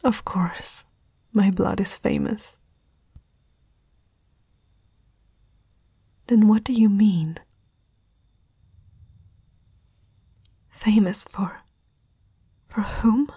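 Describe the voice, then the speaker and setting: A haughty young princess, acted by a woman in a fantasy audio roleplay. She speaks in a very breathy whisper, slowly and haltingly, proud but afraid. The sound is close and dry, with a soft, dark tone. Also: medium pitch, dry recording, exaggerated voice. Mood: proud, puzzled. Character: proud, frightened eighteen-year-old princess confronting a night intruder. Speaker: ASMR roleplay performer. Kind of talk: whispered ASMR roleplay acting. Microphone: close microphone, dry.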